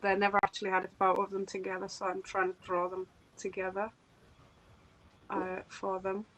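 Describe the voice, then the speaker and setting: A woman's voice: medium pitch, completely flat delivery, brisk, a bit bright, low-quality recording. A woman chatting casually as a guest on a live stream, hesitant, with many ums. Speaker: guest artist.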